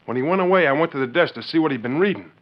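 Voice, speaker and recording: An actor in a 1940s radio western playing a rancher's brash young son, insistent and urgent. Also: male voice, a little high, expressive, very fast, close to an everyday voice. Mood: accusing, insistent. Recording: muffled 1940s radio recording.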